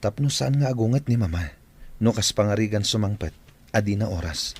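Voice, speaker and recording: Boss's grown son in a radio drama, deep voice, kind, a bit hesitant. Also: male voice, animated, fairly quick, darkish tone, husky, no room sound. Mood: helpful. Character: employer's grown son, kindly, hesitant young gentleman. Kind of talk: Ilocano radio drama acting.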